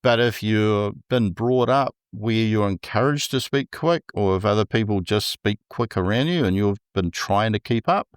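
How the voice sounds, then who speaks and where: A man with a New Zealand accent who speaks slowly and deliberately, with a stutter, in a relaxed interview as a podcast guest. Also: on the low side, clean tone, no echo, studio quality. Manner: slow, deliberate speech with a stutter. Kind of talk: casual interview conversation.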